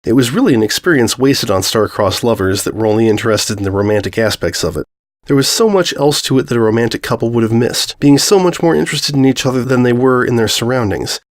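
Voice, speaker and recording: A man's voice: medium pitch, expressive, fast. A man reading a scripted story aloud as its narrator.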